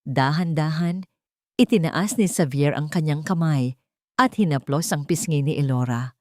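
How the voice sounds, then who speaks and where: A woman with a Filipino accent narrates a scripted dramatic love story in a measured, expressive way. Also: low voice, no room sound, studio quality. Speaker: narrator of romance stories.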